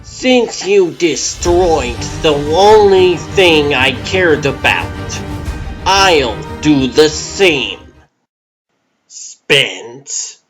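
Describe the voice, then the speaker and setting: A man voicing a vengeful, brooding comic-book villain who feels nothing, with a slow, menacing, deliberate delivery. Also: mid-range voice, expressive, soft background music. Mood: cold menace. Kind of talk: comic-book dub acting.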